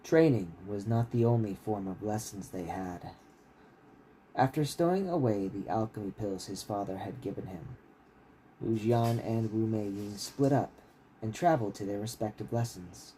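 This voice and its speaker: A man's voice: on the low side, animated, moderate pace, dark tone, slight background noise, rough recording. A man who reads his own fantasy writing aloud like a bedtime story on a casual livestream. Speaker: author reading own novel aloud.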